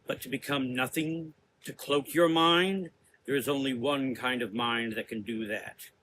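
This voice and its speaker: A man playing a menacing, cerebral gothic sorcerer in an audio drama, lecturing deliberately in drawn-out, taunting phrases. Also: medium pitch, fairly bright tone, a little hiss or hum, natural voice. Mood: accusing.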